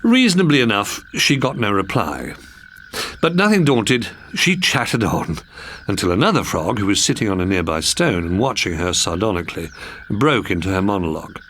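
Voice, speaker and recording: Expressive Englishman reading a children's story. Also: on the low side, normal speed, a little hiss or hum. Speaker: storybook reader. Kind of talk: scripted children's story narration.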